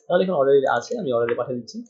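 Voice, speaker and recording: A man's voice: mid-range voice, very fast, a little mellow. A man from Bangladesh teaching a coding tutorial, thinking aloud as he types and mixing in English terms. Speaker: programming tutor. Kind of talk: screen-recorded coding tutorial. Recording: dull lo-fi sound.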